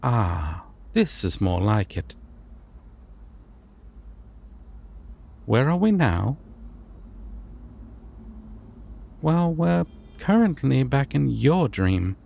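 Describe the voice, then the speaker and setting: Ancient male deity of dreams for audio roleplay, played by a man: composed, formal, gravelly, with expressive swings in pitch. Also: heavily acted. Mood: composed, reassuring. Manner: formal, theatrical, unhurried with deliberate pauses. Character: ancient male god of dreams, courteous, composed, world-weary. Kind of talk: scripted audio roleplay addressed to the listener.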